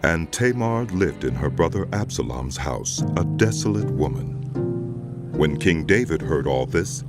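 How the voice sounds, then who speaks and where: A man's voice: a bass voice, creaky. A man reading scripted narration for a dramatized audio Bible. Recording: loud music under the voice.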